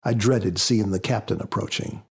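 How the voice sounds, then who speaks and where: A man reading scripted first-person audiobook narration in a measured storytelling manner. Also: on the low side, steady tone, breathy edge. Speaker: author narrating his audiobook.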